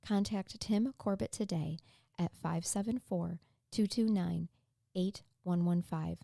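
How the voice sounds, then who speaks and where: A woman's voice: fairly low pitch, measured pace, vocal fry, mellow. Chatty woman podcast host. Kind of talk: casual chat.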